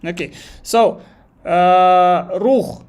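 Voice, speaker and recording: A man's voice: high voice, very slow, a little mellow, smooth. Kazakh-accented man teaching English live. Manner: thinks aloud, repeats phrases, reads chat questions aloud. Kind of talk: live online lesson.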